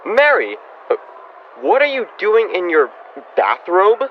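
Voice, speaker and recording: Young man acting exasperated bossy dad, old-time radio comedy, lively swooping pitch, muffled recording. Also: slow, exaggerated voice. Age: young adult. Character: gruff exasperated middle-aged father, bossy and flustered. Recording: dull muffled audio, background noise.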